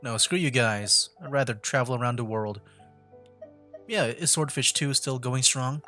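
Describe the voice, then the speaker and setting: Male streamer, medium pitch, casual gameplay commentary, informal slang, chatty, faint music behind. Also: moderate pace, bright, forceful tone. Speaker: game streamer. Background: faint game music.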